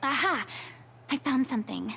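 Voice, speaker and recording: A woman voicing a confident, theatrical young woman investigator in a video game, with expressive, deliberate delivery. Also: high-pitched, gravelly, slight background noise, exaggerated voice. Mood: confident, brisk.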